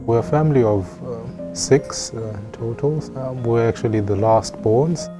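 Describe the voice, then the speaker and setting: A young man from South Africa, a medical student, speaking gently and a little hesitantly. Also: medium pitch, measured pace, over music. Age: young adult. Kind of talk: personal narrative interview.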